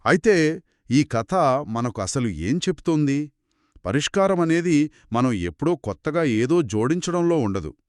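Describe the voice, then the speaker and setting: Animated man, analytical co-host. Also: fairly high pitch, a little slow, no echo, clean studio sound. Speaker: podcast co-host. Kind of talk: conversational story discussion.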